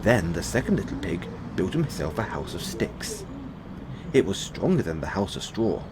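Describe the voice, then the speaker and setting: A man narrating a bedtime fairy tale as a storyteller, in a simple, measured read-aloud delivery. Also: low-pitched, very animated, very noisy.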